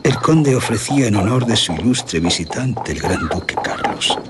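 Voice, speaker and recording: A man's voice: fairly low pitch, expressive, normal speed, very noisy, natural voice. An older man with a Castilian Spanish accent playing a grieving aristocratic general who recounts his tragedy in florid radio drama style. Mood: solemn narration. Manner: florid, theatrical, dramatic storytelling. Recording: music under the voice.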